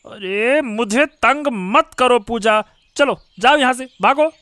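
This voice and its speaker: A man acts a grumpy, dismissive village father from a cartoon moral tale. His voice is strikingly high and clean, recorded dry and close. He brushes people off brusquely. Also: slow pace, sharp tone, no room sound, full character voice. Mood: irritated, dismissive. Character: irritable, poor village father. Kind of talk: Hindi moral-story cartoon dubbing.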